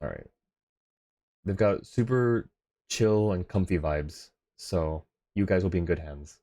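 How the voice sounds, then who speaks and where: A man's voice: low voice, lively intonation, a little slow, mellow. A man streaming and chatting casually with viewers, laughing often in an easygoing, rambling way.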